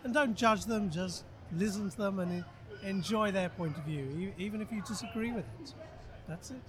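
A man's voice: high voice, a little fast. A man earnestly giving direct advice at a business show.

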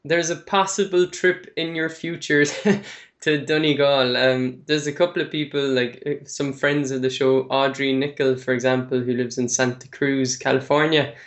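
A man's voice: medium pitch, steady tone, moderate pace. A man hosting a podcast interview, asking questions in a friendly, chatty way. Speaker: podcast host.

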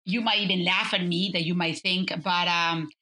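A woman in her fifties from Mexico, with a Mexican Spanish accent, speaking as an interview guest in a warm, storytelling way with many fillers and restarts. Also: on the low side, fairly even intonation, normal speed. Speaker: guest, business consultant. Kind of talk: conversational interview.

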